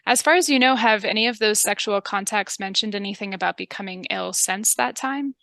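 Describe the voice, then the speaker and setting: Bright, polite woman with a creaky edge. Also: mid-range voice, brisk, no room sound. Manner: calm, polite, methodical, professional. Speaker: public health interviewer and narrator. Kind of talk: scripted training demonstration.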